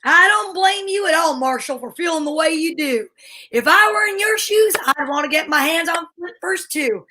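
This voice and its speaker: A woman's voice: extremely high pitch, expressive, normal speed, bright, forceful tone, full character voice. A woman acting an earnest, plucky volunteer deputy in an old-time radio adventure serial.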